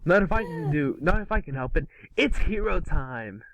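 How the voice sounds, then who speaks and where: A brash cartoon boy hero, played by a man, cocky and teasing. The voice sits a little high and is recorded dry and close. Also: expressive, moderate pace, vocal fry, a bit bright, no room sound, full character voice, poor audio. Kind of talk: cartoon table-read acting.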